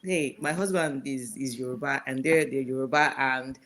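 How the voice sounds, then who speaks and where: A woman's voice: extremely low pitch, fairly even intonation, normal speed, a bit bright. Laughing woman telling stories. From Nigeria. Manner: laughing, storytelling with hesitant fillers. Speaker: panelist. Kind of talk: casual panel discussion.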